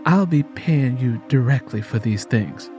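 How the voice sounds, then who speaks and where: A man's voice: mid-range voice, lively intonation, measured pace, warm tone, soft background music, natural voice. A man playing a meek Southern grandmother. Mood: polite, guarded. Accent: American South. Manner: deferential, plain-spoken, cautious.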